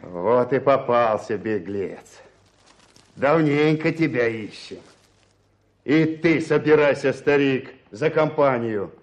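An actor playing a harsh, gloating guard who barks curt commands in a Russian radio drama. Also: male voice, mid-range voice, lively intonation, takes it slowly.

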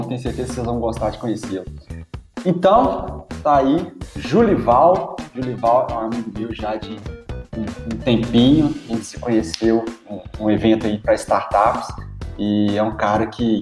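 A man with a Minas Gerais Brazilian accent hosting a casual podcast, talking in a lively, slangy and excited way. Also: mid-range voice. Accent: Brazilian Portuguese, Minas Gerais. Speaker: podcast host. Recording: echoey room with faint guitar music.

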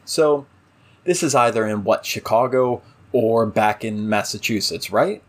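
A man's voice: lowish voice, a little slow. A man co-hosting a casual TV review talk, ranting emphatically with exasperation and rhetorical questions. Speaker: podcast co-host reviewing a TV series. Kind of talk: casual opinionated episode discussion.

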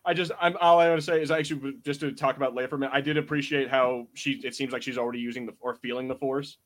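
A man co-hosts a podcast in a casual review chat. His talk rambles along loosely and informally, with some swearing, in a mid-pitched voice. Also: steady tone, rapid-fire, fairly bright tone. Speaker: podcast co-host.

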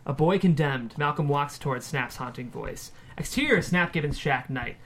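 A man's voice: on the high side, normal speed, a bit bright. A man reading screenplay stage directions as scripted narration at a table read.

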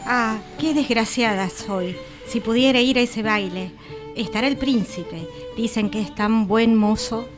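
A woman's voice: a little high, a little slow, natural voice. A woman playing a naive, plaintive young heroine in comic radio theatre, melodramatic and wistful, with an Argentine accent. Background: music.

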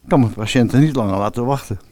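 A man plays a middle-aged widowed dentist father in an audio fairy tale. He talks in a gentle, coaxing, conversational way at a medium pitch. The sound is close and dry. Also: fast, dry recording, lightly acted. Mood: subdued, caring. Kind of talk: Dutch audio fairy-tale drama acting.

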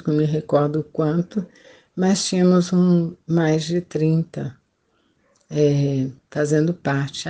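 Older Brazilian woman, retired missionary giving a conversational testimony. Low pitch, soft-edged mellow tone. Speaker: retired missionary, podcast guest. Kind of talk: personal testimony, conversational storytelling.

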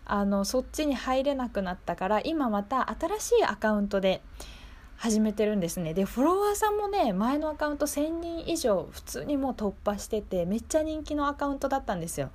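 A woman's voice: fairly high pitch, very animated, brisk, darkish tone. A woman hosting a local radio show in a casual, chatty, conversational way.

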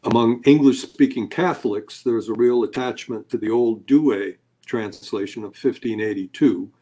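A man's voice: medium pitch, moderate pace, mellow, highs cut off. A man answering interview questions as a scholar, lecturing with many hesitations and uhs. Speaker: Bible scholar guest.

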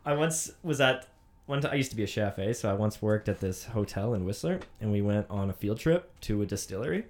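A man's voice: fairly low pitch, a little fast. A man hosting a casual chat over drinks, joking and telling anecdotes. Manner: animated, joking, tells anecdotes.

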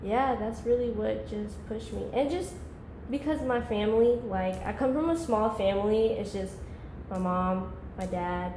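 A woman's voice: medium pitch, unhurried, warm tone, roomy sound, a little hiss or hum, rough recording. A woman in her twenties, a podcast guest, talking in a laid-back, rambling way with lots of "like". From Georgia, US. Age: 20s. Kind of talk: casual podcast chat.

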